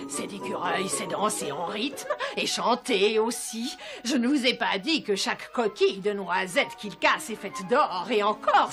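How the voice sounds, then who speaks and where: A woman playing a vain, scheming fairy tale cartoon court lady with a haughty, showy manner. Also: mid-range voice. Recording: music behind the voice.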